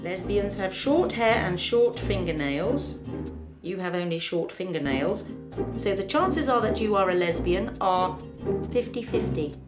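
A woman playing a deadpan blunt therapist. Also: medium pitch, a little slow, echoey room, over loud music, natural voice. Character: blunt quirky female therapist, middle-aged, overconfident.

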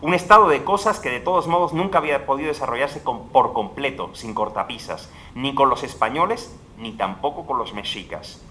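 A middle-aged man reading a formal academic lecture at a panel table mic in a large hall, measured and emphatic, dropping his voice at phrase ends. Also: mid-range voice, lively intonation, pushed and bright, a little hiss or hum. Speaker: conference speaker. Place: large legislative hall, indoors. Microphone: table microphone at a panel.

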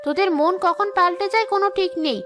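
A woman's voice: very high voice, fast, faint music behind. A woman voicing a brash, greedy village ghost in a cartoon, with a blunt, grumbling, boastful manner. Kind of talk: animated cartoon dialogue.

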